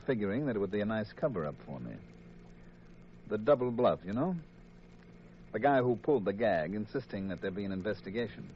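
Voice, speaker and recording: Hard-boiled American murderer, menacing and courteous, deep voice, muffled vintage radio sound. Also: male voice, slight background noise. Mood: smug menace. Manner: deliberate, hard-boiled, mock-polite. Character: cold, confessing killer, male insurance man, coolly menacing. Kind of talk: 1950s radio mystery acting. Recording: muffled, dull 1950s radio recording.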